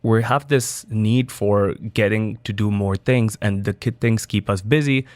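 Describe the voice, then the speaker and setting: A man's voice: fairly low pitch, unhurried, a little mellow, dry recording. A man from Dubai, a studio guest, answering interview questions in a loose, conversational way with restarts and fillers. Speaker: studio guest, biohacking entrepreneur.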